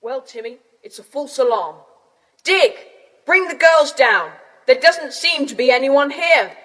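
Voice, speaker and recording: A woman playing a confident, bossy British boy who leads child adventurers in a children's audio drama, speaking with crisp authority. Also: high-pitched, lively intonation, unhurried, a bit bright. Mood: commanding, excited. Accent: British English. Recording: hissy cassette tape, long reverb.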